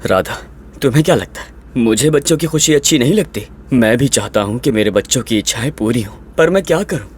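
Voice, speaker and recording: A man playing a caring, hard-pressed father and husband in an animated story, earnest and placating. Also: mid-range voice, fairly quick, a little hiss or hum, natural voice. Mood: apologetic, earnest.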